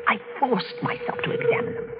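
A woman's voice: brisk, close to an everyday voice. A woman playing an anxious but determined wife in a 1940s radio suspense drama, with dramatic intensity. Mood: tense, haunted. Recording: dull vintage radio recording with music.

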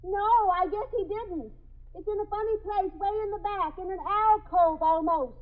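Anxious, very high-voiced trapped woman. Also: moderate pace. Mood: earnest, polite. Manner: calling out loudly, chattering explanations. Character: anxious, insistent single woman locked inside a drugstore. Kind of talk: 1950s radio drama acting. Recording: muffled phone-like 1950s radio recording.

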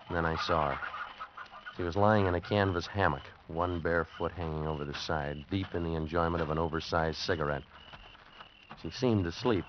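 A man's voice: low voice, background noise, natural voice. An actor playing a wary, streetwise American man narrating a risky shady deal in a vintage radio drama. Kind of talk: 1940s-50s radio drama acting, hardboiled first-person narration. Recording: dull vintage radio recording with music behind.

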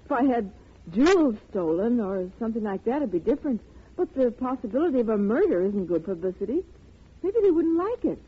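A naive young chorus girl in an old radio drama, with a high, slightly breathy voice. She sounds earnest and anxious, pleading her case over a muffled, narrow-band vintage recording. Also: big pitch swings, brisk, heavily acted. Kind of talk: 1940s radio drama acting.

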